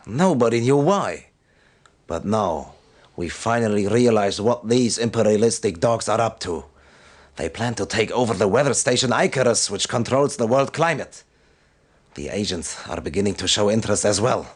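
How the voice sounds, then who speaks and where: A man's voice: medium pitch, close to an everyday voice. Stern, solemn military commander, played by a man. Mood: stern, urgent. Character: stern, devout male military commander briefing his officers. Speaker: military briefing officer. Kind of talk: scripted video game cutscene briefing.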